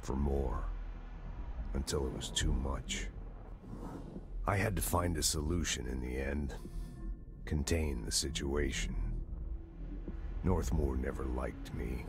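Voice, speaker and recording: Very deep, slow, brooding director. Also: male voice, music in the background, heavily acted. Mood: brooding, reflective. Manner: terse, deliberate monologue in clipped phrases. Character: grave, brooding former director of a secret government bureau. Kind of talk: dramatic game monologue.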